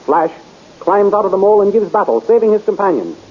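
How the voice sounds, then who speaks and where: A man's voice: high-pitched, sing-song, fast talker. A man narrating a 1930s radio serial in a dramatic, urgent way, on a muffled old recording with hiss.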